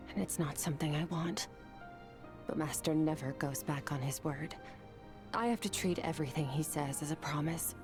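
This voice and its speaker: A woman voicing a stern, composed young female sword master in a video game, speaking in a calm, measured way with a breathy, near-whispered edge. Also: low-pitched, close to an everyday voice. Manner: calm, measured, quietly grave. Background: game background music.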